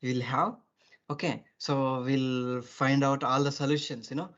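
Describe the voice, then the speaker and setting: A male teacher giving a soft skills class, rambling with many "you know" fillers. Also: mid-range voice, level tone, a little slow. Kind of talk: online class lecture. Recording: dull lo-fi audio.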